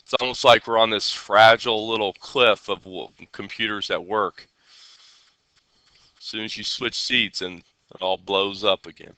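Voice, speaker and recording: A male teacher with a very bright, forceful voice, nasal from a head cold yet crisply clear. He explains coding to a class in an instructional way and keeps checking that students follow. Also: lowish voice, steady tone, measured pace, no echo. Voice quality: nasal, congested. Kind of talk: live classroom coding lesson.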